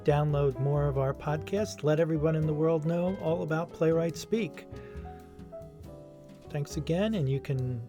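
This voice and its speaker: A man's voice: on the high side, measured pace. A man hosting a podcast, reading a scripted sign-off to listeners. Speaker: podcast host. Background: guitar music.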